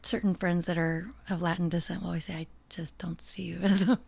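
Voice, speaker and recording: A woman's voice: fairly low pitch, little pitch movement, brisk, gravelly, darkish tone, husky. A middle-aged American woman talking as a podcast guest, reflective and earnest, laughing easily. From United States. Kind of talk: casual interview talk.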